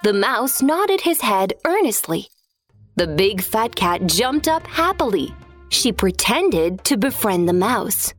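Animated, unhurried woman storyteller. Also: mid-range voice, soft background music. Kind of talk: scripted children's story narration.

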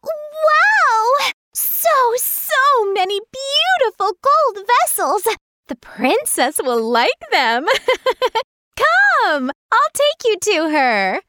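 A woman voicing an eager, girlish young palace lady in a children's story, excitable and wide-eyed. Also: very high-pitched, extremely slow, dry recording, studio quality, full character voice. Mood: amazed, eager. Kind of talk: children's audio story acting.

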